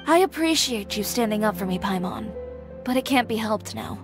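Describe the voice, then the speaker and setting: A woman's voice: a little high, lively intonation, moderate pace, over music. A woman playing a proud, defiant young fashion designer in a video game, composed, clipped and resolute.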